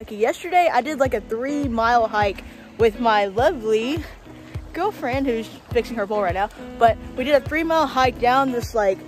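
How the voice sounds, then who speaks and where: A woman's voice: on the high side, lively intonation, normal speed, over music. A young woman vlogging while fishing by a creek, chatting straight to her viewers through a handheld action camera. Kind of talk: casual outdoor vlog talk. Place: outdoors by a creek. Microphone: action camera held at arm's length.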